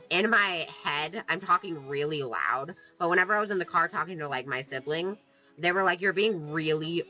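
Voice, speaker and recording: Bright, sniffly vlogger with a cold. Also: medium pitch, rough recording. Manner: playful, jokey, sarcastic, drifts off topic. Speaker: gaming YouTuber. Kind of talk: casual rambling vlog chat.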